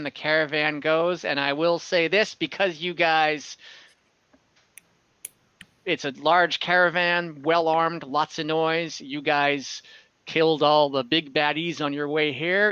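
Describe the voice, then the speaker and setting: Slow, halting man running a tabletop game, roomy sound. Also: on the high side, bright, forceful tone, smooth. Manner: halting, pausing mid-sentence while narrating. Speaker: game master. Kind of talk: narrating an online tabletop game. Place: indoor room, online call. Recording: dull, roomy home recording.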